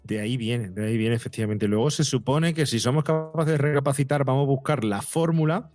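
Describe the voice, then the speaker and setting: A man's voice: mid-range voice, fairly quick, a little mellow, no room sound, soft background music. Spanish man explaining emphatically, dry close sound. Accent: Spain. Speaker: psychologist and podcaster, interview guest. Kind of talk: conversational explaining in a podcast interview.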